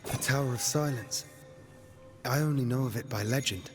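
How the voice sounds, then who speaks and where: A man's voice: mid-range voice, natural voice. Man playing an earnest warrior hero. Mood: consoling, earnest. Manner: earnest, measured. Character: steadfast, compassionate young male warrior hero. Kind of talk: dramatic video game acting. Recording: music behind the voice. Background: music.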